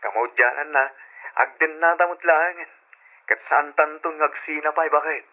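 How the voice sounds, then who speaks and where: Eager Ilocano husband on a tinny phone. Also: male voice, on the high side, fairly even intonation, normal speed. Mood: affectionate, excited. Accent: Filipino, Ilocano. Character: eager, affectionate husband phoning from away. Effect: telephone filter. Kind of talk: old-time Ilocano radio drama acting. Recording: tinny phone line in old radio drama.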